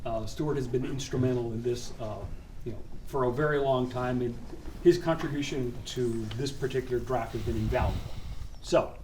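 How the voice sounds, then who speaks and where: A man with a mid-pitched voice gives an informal introduction as host. He is away from the mic in a roomy, audibly noisy space. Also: normal speed, a little mellow. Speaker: host introducing the table read. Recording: echoey, noisy, distant microphone.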